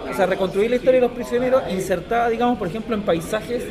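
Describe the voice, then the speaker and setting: A man in his thirties talks fast in a high voice, blunt and full of slang as he co-hosts a podcast. A loud crowd murmurs behind him in a large room. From Chile. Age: 30s. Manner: animated, slangy, swears freely. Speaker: podcast co-host. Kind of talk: casual chat.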